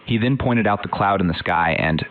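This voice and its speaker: A male news anchor, played by a man, reports in a measured way. His voice is very deep. It comes through thin and phone-like. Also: lightly acted. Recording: phone-like narrow sound.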